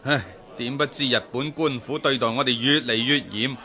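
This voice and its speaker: A man with a Hong Kong Cantonese accent playing an earnest, expressive seafaring merchant in a historical radio drama. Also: mid-range voice, normal speed, natural voice. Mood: resigned, worried. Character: earnest Ming-era seafaring merchant, husband and new father.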